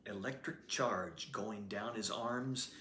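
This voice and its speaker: A man reading his own novel aloud as storybook narrator, expressive and lively. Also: lowish voice, slow pace, a little hiss or hum. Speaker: author reading his book. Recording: roomy echoey room.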